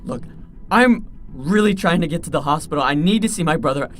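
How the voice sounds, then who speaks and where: A man's voice: a little high, lively intonation, fairly quick, close to an everyday voice. An actor playing an anxious, pleading everyman held hostage as a passenger in an audio drama, nervous and protesting. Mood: desperate.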